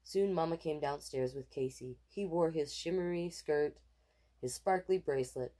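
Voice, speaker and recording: A woman reading a children's picture book aloud in an expressive, animated way, with casual asides. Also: sits low, normal speed. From Canada. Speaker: storytime reader.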